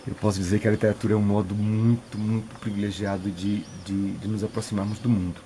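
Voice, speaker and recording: Middle-aged priest, low, warm voice, reflective and hesitant, light background hiss. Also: male voice, little pitch movement, fast. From Piauí, Brazil. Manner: thoughtful, many restarts and filler "né". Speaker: retreat preacher, priest. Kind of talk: reflective interview answers.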